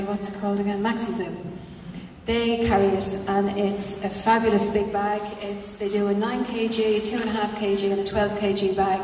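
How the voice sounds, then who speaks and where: A woman on a festival panel talks in a flat, mellow voice, wandering through her answer with plenty of "you know" asides. She sits far from the mic in a big, echoing hall with faint noise behind. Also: mid-range voice.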